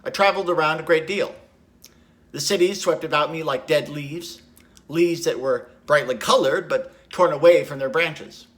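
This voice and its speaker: A man's voice: on the high side, moderate pace, a bit bright, pitched above the performer's own voice, lightly acted. A man playing a haunted, guilt-ridden young poet in a lyrical, reflective theatrical monologue. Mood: wistful, haunted.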